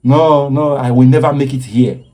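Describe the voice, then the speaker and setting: A man's voice: medium pitch, fairly even intonation, moderate pace, dark tone. A man giving impassioned social commentary in an earnest, preaching way, often stopping to repeat himself.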